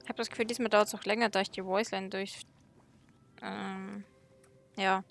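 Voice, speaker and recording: A woman's voice: mid-range voice, little pitch movement, normal speed, creaky. A woman giving casual let's play commentary. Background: game music.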